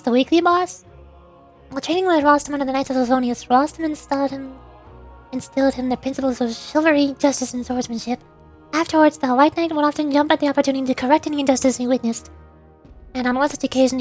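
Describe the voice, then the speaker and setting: Woman, high voice, casual game livestream, giggly, self-deprecating, puzzled, faint background music. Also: a little mellow. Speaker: streamer. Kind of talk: casual game livestream chat and reading lore aloud.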